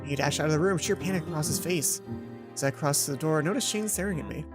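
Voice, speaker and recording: A woman's voice: sits low, quick pace. A woman hosting a let's play, reading a visual novel aloud with casual asides, music behind her.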